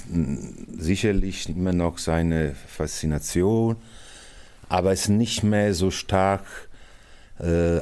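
A man in his sixties from Naples with an Italian accent, giving halting, thoughtful interview answers as an artistic director. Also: low-pitched, dark tone. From Naples, Italy. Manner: halting, searching for words, frequent pauses.

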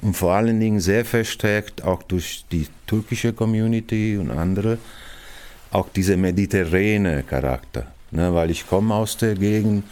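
Italian-accented middle-aged man, very low voice, mellow tone, hesitant, searches for words. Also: measured pace. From Italy, Naples. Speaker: artistic director, multimedia artist. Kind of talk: interview answer addressed to young listeners.

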